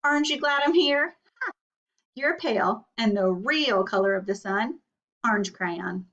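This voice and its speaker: A woman's voice: fairly high pitch, lively intonation, measured pace, fairly bright tone, recorded from a distance, highs cut off. A woman voicing an indignant, huffy talking crayon in a children's storybook. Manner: huffy, insistent. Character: indignant whining orange crayon, a tattling rival.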